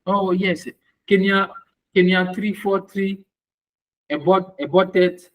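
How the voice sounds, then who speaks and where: Male language student, slightly high voice, unhurried halting delivery, repeating words as he answers exercises. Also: steady tone, lo-fi sound. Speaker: student, air traffic controller.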